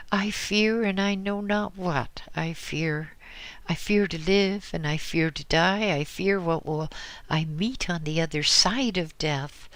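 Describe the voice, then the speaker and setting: A desperate old widow, raised well above the man's own voice, pleads in slow tearful bursts. Her anguish keeps cracking upward. The reading is close and dry. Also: a bit bright, dry recording, exaggerated voice. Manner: tearful, pleading, breaking into high anguished outbursts. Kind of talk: audiobook reading.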